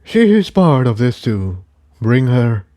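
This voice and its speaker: Elderly male pony ruler, done by a narrator: quavering, grave, unhurried, soft mellow tone. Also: mid-range voice, big pitch swings. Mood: grave, commanding.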